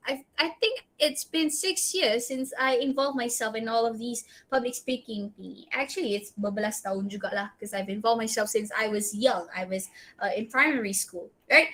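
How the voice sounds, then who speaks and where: Malaysian woman coaching public speaking, higher voice. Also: fairly bright tone. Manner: tags sentences with "right?" and "okay?"; coaching, direct advice. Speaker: guest speaker. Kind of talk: public speaking workshop talk.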